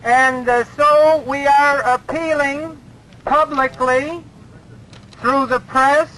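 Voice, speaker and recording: A man's voice: extremely high pitch, steady tone, slow pace, bright, forceful tone, poor audio. Give me a defiant male protest organizer speaking on a street, with faint background hiss. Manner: emphatic, defiant. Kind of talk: speaking to press at a march.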